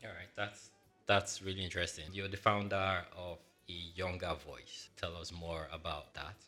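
A man's voice: deep voice, flat delivery, normal speed, pushed and bright, soft background music. A man hosting a podcast, introducing his guest and asking questions with short encouraging remarks. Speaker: podcast host. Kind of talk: podcast interview.

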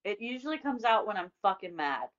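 A woman's voice: medium pitch, sing-song, fairly quick, fairly bright tone, no room sound, muffled recording. A woman in casual chat, emphatic and easily exasperated, swearing freely while ranting.

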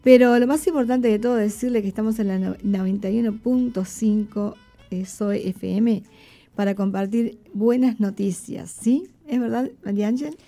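Mellow-voiced woman hosting casual radio chat. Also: medium pitch, normal speed, soft background music. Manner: conversational, addresses co-host and listeners. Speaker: radio host and pastor.

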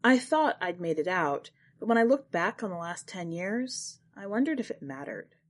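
A woman narrating literary short fiction from a script, with expressive, dramatic phrasing. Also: on the low side, moderate pace. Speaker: podcast host and fiction narrator.

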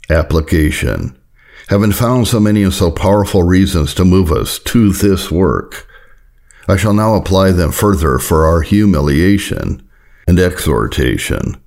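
Deep male narrator, lots of fry in the voice, solemn measured reading of old religious text. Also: a little mellow. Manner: earnest, measured, formal delivery. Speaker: audiobook narrator of Puritan writings. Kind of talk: solemn read-aloud of an old sermon.

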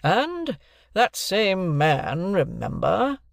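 Man voicing a bullying, high-pitched gentleman cross-examiner. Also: wildly swooping pitch, drawn-out words, vocal fry, dry recording, clean studio sound, full character voice. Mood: accusing. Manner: hectoring, interrogative, authoritative. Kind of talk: classic novel narration, character voice.

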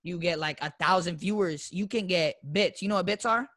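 Young man, from Florida, guest streamer, slangy casual talk, high voice, dry close recording. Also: a little fast, a bit bright, no room sound. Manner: loose, slangy, with lots of "like" fillers. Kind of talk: casual interview chat.

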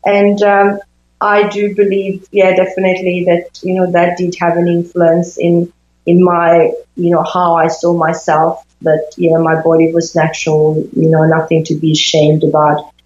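A woman's voice: fairly low pitch, monotone, moderate pace, darkish tone. Swedish-born woman, echoey dull sound. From Sweden. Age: 50s. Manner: thoughtful, searching for words with many fillers. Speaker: intimacy coach, guest. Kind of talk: interview conversation.

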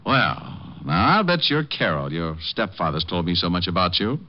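A man's voice: sits low, sing-song, normal speed, slight background noise. An actor playing a cocky, wisecracking private detective in a 1940s radio drama, dry and flippant as he acts and narrates. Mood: flippant, needling. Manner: dry, flippant, smooth-talking. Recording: dull old radio recording with music.

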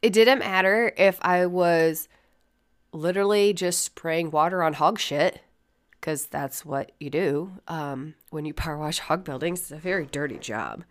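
A woman talks in a low voice, scratchy and a little sniffly from a head cold. She rambles candidly through a casual solo podcast and swears freely. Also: unhurried. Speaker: podcast host.

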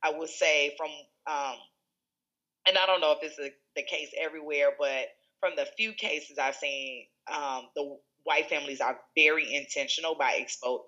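Woman, Chicago accent, bright forceful voice, slightly dull lo-fi sound, animated storytelling, frequent laughter. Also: rumbling low. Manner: animated, laughing often, many fillers and restarts. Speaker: school executive director. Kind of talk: conversational interview storytelling.

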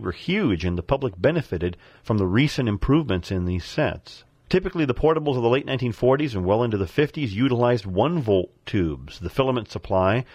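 A middle-aged man giving a scripted, nostalgic narration in measured, formal phrasing, as a contributor to a radio show. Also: fairly low pitch, a little mellow, husky, no echo.

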